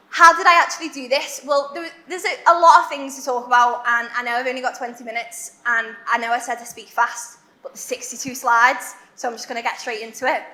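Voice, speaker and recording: Woman, Liverpool accent, high pitch, chatty, self-deprecating, fast, echoey venue. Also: sharp tone. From Liverpool, England. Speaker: conference speaker. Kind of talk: conference talk. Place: large warehouse event hall. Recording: echoey event hall.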